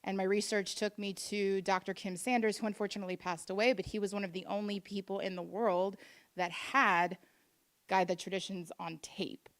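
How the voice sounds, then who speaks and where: A woman's voice: medium pitch, little pitch movement, normal speed. A woman and dance group director making an eager, chatty comment at a forum microphone, sprinkling her speech with "like". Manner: enthusiastic and chatty, with many "like"s and restarts.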